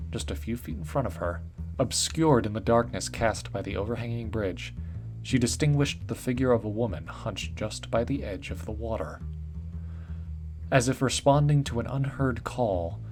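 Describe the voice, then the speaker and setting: A man with a mid-range voice narrates a dark story in a measured, deliberate way, slowing down and letting the ends of phrases sink softly. A faint guitar plays beneath his reading. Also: mid-range voice. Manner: measured storytelling with slowed, dropped-off phrase endings. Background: faint guitar music.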